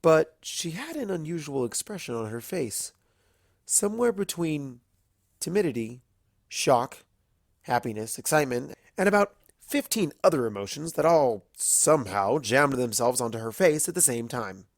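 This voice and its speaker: A storyteller giving an expressive, scripted reading of narration for a fan fiction story. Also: medium pitch, normal speed, creaky, no room sound, studio quality.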